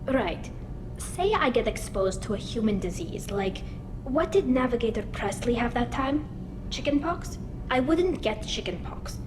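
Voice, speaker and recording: Woman as an earnest alien engineer amid rumble. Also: natural voice. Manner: earnest, chatty explaining, self-deprecating. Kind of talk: video game dialogue.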